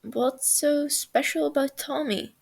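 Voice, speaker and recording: A gruff, blunt young man with a low, flat delivery and a gravelly edge, put on by a woman reading a story aloud. He talks slowly and dryly, like a tired older brother in a storybook. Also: full character voice.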